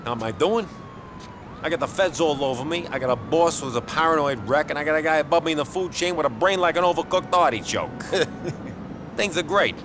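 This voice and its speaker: An actor playing a sneering, world-weary middle-aged New York mobster in a video game cutscene, wisecracking and condescending. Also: male voice, animated, a little fast, natural voice. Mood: sarcastic. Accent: New York area. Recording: noisy outdoor city street rumble.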